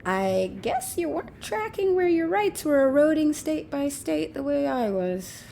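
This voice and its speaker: A woman's voice: high voice, very animated, a little slow, gravelly, warm tone, slight background noise, exaggerated voice. A woman playing a sardonic, amused, slangy young woman in an audio drama, teasing and unapologetic in a natural, casual way. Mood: casual, practical.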